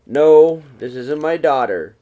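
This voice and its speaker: Man reading as an easygoing storybook dad, animated intonation. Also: on the high side, slow, muffled recording. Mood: fond, easygoing. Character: warm, gently teasing father in a picture book.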